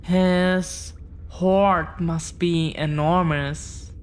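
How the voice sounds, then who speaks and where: A woman playing an affectionate, proud dragon-blooded girlfriend in an intimate audio roleplay, talking in a teasing way with long pauses. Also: lowish voice, fairly even intonation. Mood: proud, bragging. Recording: clear background noise.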